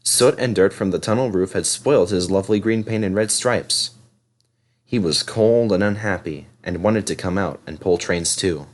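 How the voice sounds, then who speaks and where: Low-voiced man reading a children's storybook. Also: normal speed. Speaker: storybook reader.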